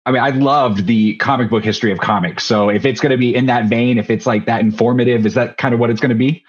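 A man's voice: medium pitch, steady tone, fast, muffled recording. A man, hosting a casual interview over a video call. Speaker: host. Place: small home room, video call.

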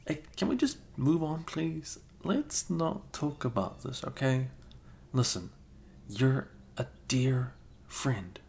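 A man playing a flustered, caring young male best friend with a crush, speaking haltingly in an improvised ASMR roleplay. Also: mid-range voice, animated, creaky, a little mellow. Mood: bashful, tender. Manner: halting, bashful, chuckling, casual bro talk.

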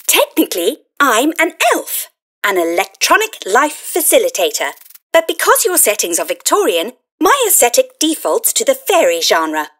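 A tiny electronic fairy helper speaks in a high, wildly animated voice. She is eager and bubbly, gushing encouragement like a saleswoman in an audio play. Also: normal speed. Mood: cheerful, helpful. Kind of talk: scripted audio play acting.

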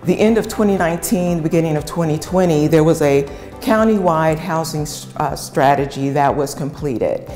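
A woman's voice: deep voice, normal speed, hardly any pauses, mellow, faint music behind. A middle-aged woman, a community development director, explaining a housing project in an earnest interview with a lapel mic. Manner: earnest, explanatory, cites figures. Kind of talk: documentary interview. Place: empty renovated house, indoors. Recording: long reverb of an empty room.

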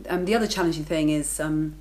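A woman's voice: sits low, fast talker, a little mellow, slight background noise. A woman in her thirties talking casually to the camera in her home kitchen, matter-of-fact and chatty. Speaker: vlogger, lifeguard and working mother.

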